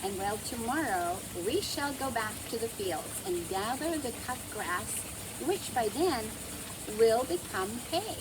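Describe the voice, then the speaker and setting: A woman's voice: fairly high pitch, lively intonation, a little slow, noisy background. A woman voicing a loving, wise storybook grandmother who explains things patiently and tenderly.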